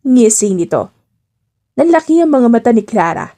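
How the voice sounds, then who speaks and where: A woman's voice: a little high, big pitch swings, moderate pace, dark tone. A woman narrating a scripted romance story as an audiobook narrator, in a dramatic storytelling style.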